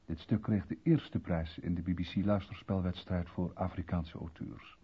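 A man announcing a radio play in a scripted 1970s broadcast style, with a husky voice. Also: low voice, steady tone, normal speed. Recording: dull vintage radio recording.

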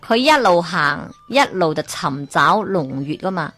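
A woman with a Hong Kong Cantonese accent tells a folk tale in a lively way. She often turns to the listener with rhetorical questions. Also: fairly low pitch, a little slow, faint music behind. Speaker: storyteller.

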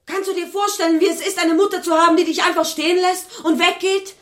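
An actress playing an upset young adult daughter, insistent and accusing, in a dramatic production. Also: female voice, extremely high pitch, steady tone, quick pace. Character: Faith, upset young adult daughter confronting her secretive mother. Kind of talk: stage-style drama acting.

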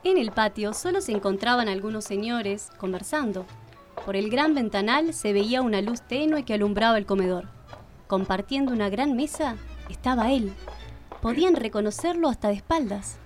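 A woman's voice: fairly high pitch, expressive, normal speed, soft background music. A woman with an Argentine accent reading scripted narration for a radio play.

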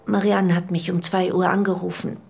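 An actress playing an uneasy, apologetic wife in a radio drama, solicitous and hesitant, with a husky voice. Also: female voice, lowish voice, little pitch movement, moderate pace, a little mellow. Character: uneasy, apologetic wife visiting her sick husband.

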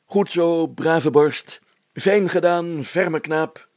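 A man's voice: on the high side, extremely slow, darkish tone, dry recording, pitched above the performer's own voice. A man voicing a pompous, flustered burgomaster in a story, with blustering, old-fashioned formal speech. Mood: jovial, commanding. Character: pompous, flustered male burgomaster and police chief.

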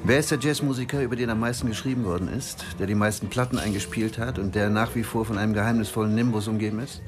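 A man's voice: fairly low pitch, fairly even intonation, quick pace, natural voice. A man playing a big, good-natured, overeager professor in a radio play, persuasive and insistent. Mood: eager, coaxing. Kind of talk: German radio play dialogue. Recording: music playing behind the voice. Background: music.

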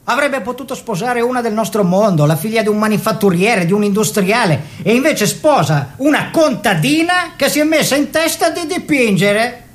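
A man's voice: very high voice, animated, moderate pace, few pauses. An actor playing a stern, old-fashioned bourgeois father, scornful and querulous in theatrical dialogue.

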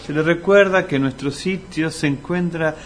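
A man plays the sensible, sarcastic friend in an Argentine radio comedy. His fairly high voice chides drily in a Rioplatense accent, over clearly audible background noise. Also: normal speed, close to an everyday voice. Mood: exasperated, calming. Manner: dry, chiding. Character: exasperated, sarcastic sensible friend of a hapless dreamer. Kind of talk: comic radio drama acting.

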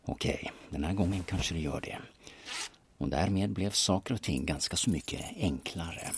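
Man playing a low-voiced, shifty schemer. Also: vocal fry, slight background noise. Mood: sneaking, scheming. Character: shifty Stockholm auction man, sneaking and scheming. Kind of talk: audio drama acting with muttered inner monologue.

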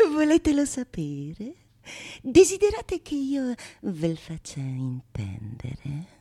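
A woman's voice: mid-range voice, huge pitch swings, drawn-out words, vocal fry, slightly rough, exaggerated voice. An actress playing a mischievous prologue narrator in a theatrical monologue, teasing and declaiming to her audience. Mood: teasing, mocking. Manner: teasing, declamatory, swinging from whispers to shouts. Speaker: stage actress. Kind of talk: theatrical monologue in archaic literary Italian.

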